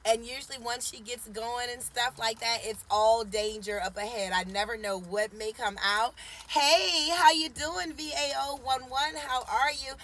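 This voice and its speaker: A woman's voice: a little high, moderate pace, fairly bright tone, slight background noise. A woman in her forties hosting a casual live stream from a parked car, chatting and teasing and greeting viewers by name. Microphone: phone camera at arm's length.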